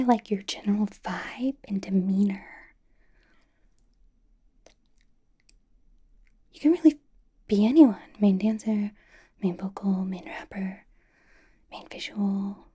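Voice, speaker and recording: Woman playing breathy, whispering bubbly young K-pop idol. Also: medium pitch, dark tone. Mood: playfully gushing. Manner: chatty, rambling, reassuring. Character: bubbly young female K-pop idol rapper, nervous, doting. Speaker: ASMR roleplay performer. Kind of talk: whispered ASMR personal-attention roleplay.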